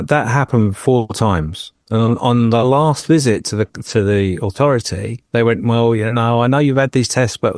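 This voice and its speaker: A man's voice: fairly low pitch, dry recording, studio quality. A man in his fifties from England, talking on a podcast and telling personal stories with a joking, anecdotal touch. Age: 50s. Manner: jokey, anecdotal storyteller. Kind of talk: casual chat, personal storytelling.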